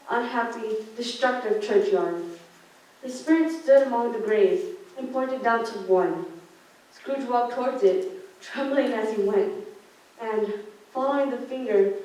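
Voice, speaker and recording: A woman's voice: on the high side, darkish tone. A teenage girl reading scripted story narration for a student radio play, with occasional stumbles. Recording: very echoey room, distant microphone.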